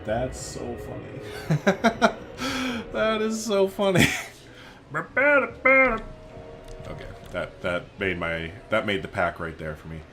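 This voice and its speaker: A man's voice: mid-range voice, wildly swooping pitch, slow pace, long pauses, music in the background. A man hosting a gaming video, chatting casually and playfully as he thinks aloud through his play. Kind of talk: casual let's play commentary.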